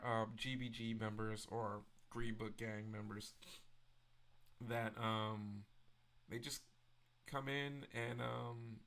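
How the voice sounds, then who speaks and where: Gravelly, bright-voiced man chatting. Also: medium pitch. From Memphis, Tennessee. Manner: relaxed and chatty, answering viewers' chat messages one by one. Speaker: livestream host. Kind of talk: casual live-stream chat.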